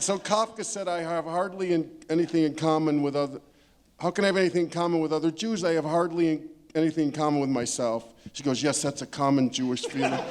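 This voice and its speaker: A man's voice: fairly high pitch, a little hiss or hum. A man talking as a panelist in an informal discussion, discursive and anecdotal, rephrasing as he goes.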